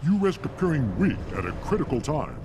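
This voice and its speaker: An actor playing an indignant alien clansman challenging his leader in a video game, forceful and declamatory. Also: mid-range voice, very animated, a little fast. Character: indignant, challenging male alien clan rival. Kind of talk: cinematic game acting. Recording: clear background noise.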